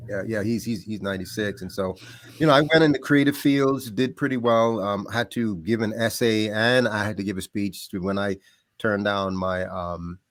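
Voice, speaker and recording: A middle-aged man from the Bahamas, a podcast guest telling stories about growing up in a lively, casual way. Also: fairly low pitch, moderate pace, no room sound. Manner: animated, laughs often, trails off into quieter asides. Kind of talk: casual storytelling.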